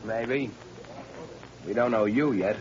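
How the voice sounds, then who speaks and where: A man playing a gruff, menacing gangster in a 1930s radio drama, blunt and tough-talking. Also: mid-range voice, slow pace, close to an everyday voice. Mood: sly. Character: gruff red-haired gangster Red. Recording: dull old radio recording with hiss.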